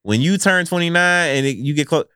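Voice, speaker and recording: A man in his thirties, chatting casually with friends in a laid-back, slangy way, telling animated stories. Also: on the high side, a little fast, clear, resonant tone, dry recording, studio quality. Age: 30s. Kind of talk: casual chat.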